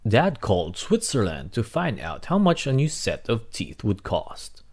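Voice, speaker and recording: A man reading a children's storybook aloud as narrator, in an expressive, dramatic way. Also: on the low side, a little slow. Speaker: read-aloud host.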